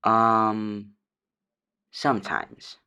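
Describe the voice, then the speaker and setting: Woman playing a slow, hesitant dryad. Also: very deep voice, pushed and bright, clean tone, exaggerated voice. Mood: subdued, unsure. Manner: hesitant, unsure, trailing off. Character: hesitant, meek female dryad, homesick for her forest. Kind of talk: audio drama radio call-in.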